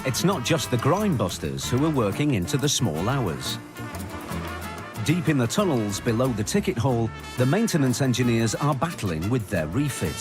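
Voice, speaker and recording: A man delivers scripted documentary narration with a lively, animated rise and fall at a middle pitch. Loud music plays underneath him throughout. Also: moderate pace.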